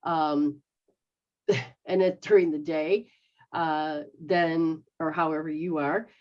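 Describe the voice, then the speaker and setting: A woman's voice: low-pitched, steady tone, takes it slowly. Chatty middle-aged woman on a dull line. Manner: rambling, explains step by step, checks understanding with "okay?". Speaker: metaphysical practitioner host. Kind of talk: casual instructional chat.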